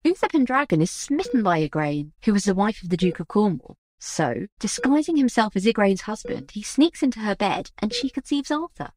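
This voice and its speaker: An actress playing a woman who recounts an old legend in an audio drama, with an expressive storytelling manner. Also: mid-range voice, brisk, few pauses.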